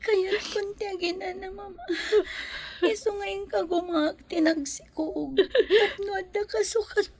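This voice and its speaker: A woman's voice: very high voice, unhurried, a little mellow. A woman playing a tender, fatalistic pregnant young wife, plaintive and affectionate, in a radio drama. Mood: sorrowful, pleading.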